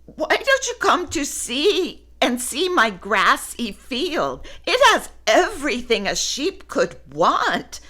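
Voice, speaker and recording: A woman voicing a friendly plump male farm sheep, high and giggly. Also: big pitch swings, slow pace, sharp tone, exaggerated voice. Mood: cheerful.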